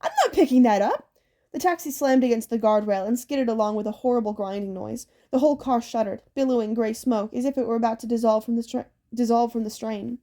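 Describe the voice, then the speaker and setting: Female audiobook narrator, fairly high voice, relaxed dark-soft timbre, scripted storytelling. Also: quick pace, hardly any pauses.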